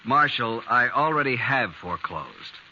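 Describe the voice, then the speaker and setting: An actor playing a cold, haughty rich moneylender in a 1950s radio western, with clipped formal speech. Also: male voice, medium pitch, expressive, unhurried, pushed and bright. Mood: cold, stern. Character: cold, hard-hearted rich male moneylender. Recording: muffled, hissy 1950s radio recording.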